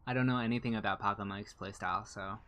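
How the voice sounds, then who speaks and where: A co-caster giving live game commentary in a laid-back, hesitant way with many pauses. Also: low-pitched, steady tone, a bit bright. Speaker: esports co-caster.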